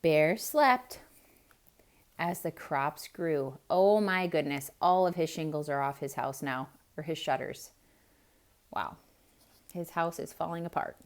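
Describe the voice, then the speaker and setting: A woman reading a picture book aloud to children, pausing to point things out and ask them questions. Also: deep voice. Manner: slow, expressive, asks children questions. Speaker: children's storyteller.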